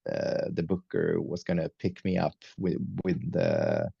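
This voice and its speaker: A man's voice: sits low, very animated, measured pace, creaky, dark tone, breathy edge, no echo, lo-fi sound. A man whose first language is Swedish, a metal musician chatting as an interview guest, hesitant with many fillers and restarts. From Sweden. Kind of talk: casual interview chat.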